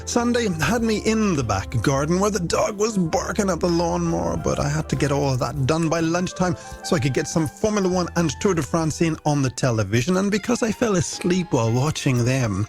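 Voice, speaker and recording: An Irish man hosts a music radio show in a slightly high voice with a creaky edge. His delivery is scripted and playful, full of wordy, alliterative patter. Faint music plays underneath him. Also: expressive, fairly quick, hardly any pauses, darkish tone. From Ireland. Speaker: radio host. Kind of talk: scripted late-night music radio presenting.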